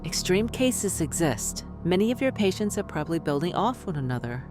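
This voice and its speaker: An actress playing a smug, dismissive doctor, clinical and condescending as a guest in a radio drama. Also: female voice, on the low side, sing-song, brisk, over music. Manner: clinical, self-assured, condescending. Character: smug, dismissive female doctor and skeptic author. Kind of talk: radio interview acting.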